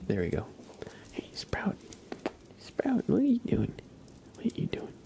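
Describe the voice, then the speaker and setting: A man hosting an ASMR livestream, chatting casually in a breathy whisper. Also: high-pitched, wildly swooping pitch, unhurried, dark tone. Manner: casual chat, greeting viewers by name. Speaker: livestream host.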